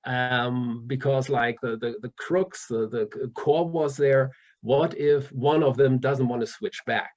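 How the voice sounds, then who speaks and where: A man's voice: mid-range voice, steady tone, a little slow, hardly any pauses, darkish tone. German-accented man, muffled lo-fi sound. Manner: hesitant, many restarts and fillers, laughs often. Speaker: author guest. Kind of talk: casual interview chat.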